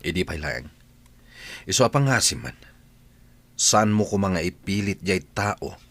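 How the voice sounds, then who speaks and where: An actor playing an offhand, stubborn young village philanderer in a radio drama. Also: male voice, deep voice, big pitch swings, measured pace, a bit bright, dry recording, heavily acted. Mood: defiant. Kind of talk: Ilocano radio drama acting.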